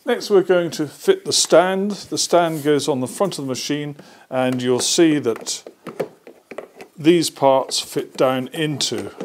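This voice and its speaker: A man's voice: mid-range voice, a little mellow. Patient older British man, noisy recording. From Britain. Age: 60s. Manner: patient, step-by-step explaining. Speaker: workshop presenter. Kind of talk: hands-on assembly tutorial. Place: indoor workshop.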